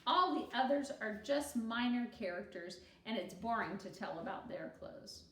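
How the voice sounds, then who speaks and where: Woman playing a confident, theatrical schoolgirl storyteller, very lively. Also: on the high side, reverberant, far from the mic, a little hiss or hum. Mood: self-assured. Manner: emphatic, deliberate, instructive.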